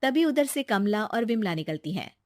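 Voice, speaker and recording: A woman's voice: mid-range voice, very animated, moderate pace, darkish tone, no room sound. Woman narrator, dry studio sound. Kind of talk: scripted children's story narration.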